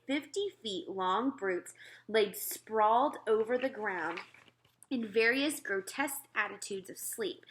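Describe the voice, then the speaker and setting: A woman with a bright, forceful voice of middling pitch reads a children's story aloud. Her narration is expressive and dramatic, leaning deliberately on key words. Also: low-quality recording.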